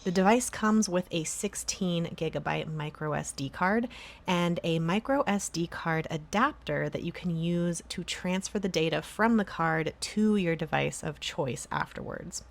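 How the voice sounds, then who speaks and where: A woman presenting a scripted how-to tutorial, explaining things step by step in a clear instructional way. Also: lowish voice, a little slow.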